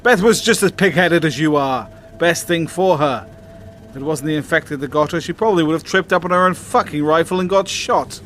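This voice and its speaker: A man's voice: a little high, a little fast, a bit bright, slight background noise, natural voice. Man playing a smug, condescending army sergeant. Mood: mocking contempt. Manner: taunting, condescending. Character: smug, callous, foul-mouthed male army sergeant. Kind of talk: amateur audio drama acting.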